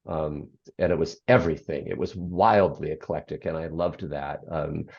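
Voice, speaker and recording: A speaker in their sixties with a low bass voice, talking reflectively as an interview guest, pausing often with ums and restarting sentences. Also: fairly even intonation, breathy edge. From United States. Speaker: guest, author and former radio program director. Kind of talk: interview conversation. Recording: slightly dull lo-fi audio.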